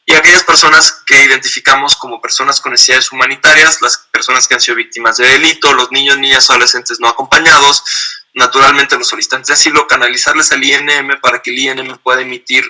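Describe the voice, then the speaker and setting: A man's voice: mid-range voice, little pitch movement, fast, sharp tone, rough recording. A man giving an online training lecture on asylum law, explaining step by step and acting out short example dialogues.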